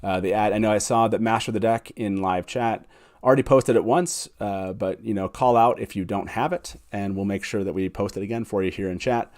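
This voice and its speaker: A man's voice: fairly low pitch, steady tone, fairly quick, darkish tone. A man hosting an online fan show in a casual, chatty style.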